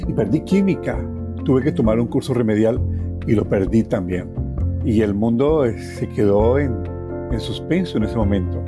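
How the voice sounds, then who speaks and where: A man with a Colombian accent recounts his own life in an expressive, reflective way, repeating phrases for emphasis. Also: medium pitch, moderate pace, over music. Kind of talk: personal oral storytelling.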